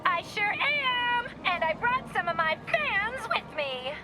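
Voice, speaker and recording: An actress playing a gleeful, attention-hungry young internet prankster villain in a video game, gushing and taunting. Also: female voice, very high voice, sing-song, slow, pushed and bright, soft background music, exaggerated voice, rough recording. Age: young adult.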